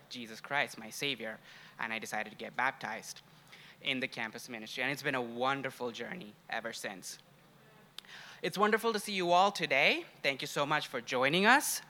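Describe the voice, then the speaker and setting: Casting: young male preacher from Sri Lanka and India, very bright forceful voice, mid pitch, chatty storytelling delivery. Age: young adult. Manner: conversational, personal storytelling. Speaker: guest preacher, grad student. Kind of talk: informal sermon introduction to a congregation.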